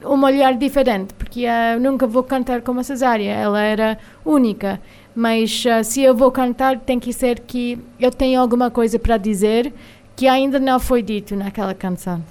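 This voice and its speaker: A woman with an American accent, a singer speaking haltingly as a radio studio guest, pausing often with filler sounds. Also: a little high, steady tone, darkish tone, smooth. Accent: American English. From United States. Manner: hesitant, many filler sounds while finding words. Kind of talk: radio interview.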